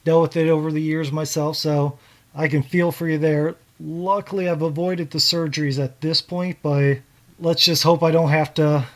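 A man's voice: fairly high pitch, little pitch movement, normal speed. A man hosting a podcast, interviewing a guest author in a casual, conversational way.

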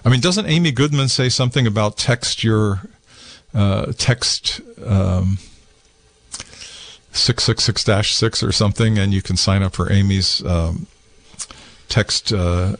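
A man hosting a casual call-in tech show from a small radio studio, talking in a relaxed, rambling way with lots of fillers and restarts. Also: on the low side, unhurried. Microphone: studio microphone with headphones.

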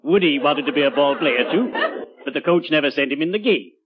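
An actor playing a genteel, mannered middle-aged undertaker fond of puns in a 1940s radio comedy. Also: male voice, a little high, lively intonation, brisk, noisy background, lightly acted. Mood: drily amused. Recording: dull vintage 1940s radio recording.

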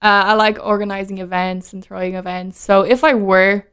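A woman being interviewed as a guest, talking in a relaxed, friendly way and laughing easily. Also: medium pitch, normal speed, clear, resonant tone. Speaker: whiskey brand ambassador, guest. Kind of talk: casual interview chat.

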